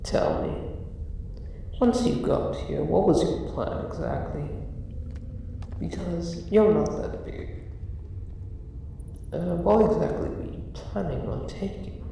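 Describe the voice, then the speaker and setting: A man voicing a huge, condescending dragon who guards his treasure, speaking in a deliberate, mock-polite way in an improvised ASMR roleplay. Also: on the high side, gravelly, dark tone, heavily acted. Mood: amused, questioning. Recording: roomy echo, distant, faint noise, cave ambience. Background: cave ambience.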